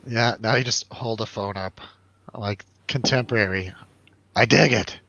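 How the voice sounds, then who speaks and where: A man's voice: on the low side, a little slow, gravelly, bright, forceful tone, no echo, muffled recording. A man chatting casually and jokily as host of a film review show, in informal, slangy talk. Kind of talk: casual chat, film review over drinks.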